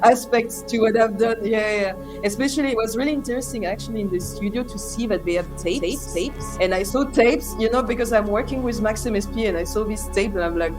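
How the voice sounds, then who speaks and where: A young adult woman from France, a native French speaker with a French accent, talking hesitantly and thoughtfully as an interview guest, with many fillers and restarts. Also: mid-range voice. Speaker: interview guest, sound artist. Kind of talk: reflective conversational interview.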